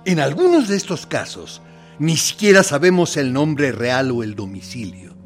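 An actor in a radio play voicing a military interrogator who plays good cop, deliberate, condescending and mock-friendly, with menace in his theatrical pauses. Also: mid-range voice, very animated, soft background music, lightly acted. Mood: threatening. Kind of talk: dramatic radio play monologue.

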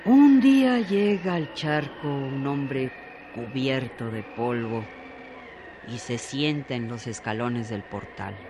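Man as grave storyteller, slow delivery, mid-pitched, radio drama, noisy recording. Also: expressive. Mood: measured. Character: grave storyteller narrator of a desert tale. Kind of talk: scripted radio drama narration.

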